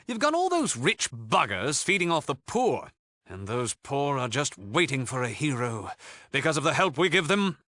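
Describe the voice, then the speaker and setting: An actor playing a suave, sardonic British leader of a thieves' guild in a video game, with a flippant, haughty and theatrical way of talking. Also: male voice, mid-range voice, sing-song, normal speed, bright, forceful tone, no echo. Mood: breezy, wry. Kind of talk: video game character dialogue.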